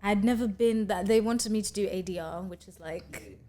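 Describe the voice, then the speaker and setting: A woman's voice: medium pitch, normal speed, dark tone. Young Kenyan woman telling a story. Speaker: guest actor. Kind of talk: casual chat. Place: podcast studio with brick wall. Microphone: studio mic on stand.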